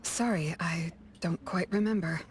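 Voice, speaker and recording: A woman voicing a calm, reserved detective mentor in a video game, understated and matter-of-fact. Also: fairly low pitch, measured pace, a little hiss or hum, lightly acted. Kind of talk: game dialogue acting.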